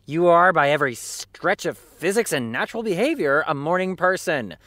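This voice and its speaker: A flippant, rambling showrunner in an audio drama. His voice sits high and swoops animatedly through sarcastic rants, recorded very dry and close. He sounds sleepless and exasperated. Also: expressive, normal speed, sharp tone, no echo. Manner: sarcastic, theatrical ranting. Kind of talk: naturalistic audio drama dialogue.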